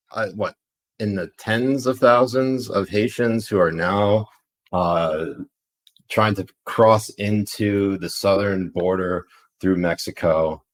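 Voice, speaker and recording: Deep-voiced man with a Pakistani accent. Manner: rambling, filler-heavy, laughs easily. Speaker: podcast co-host. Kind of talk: casual political chat.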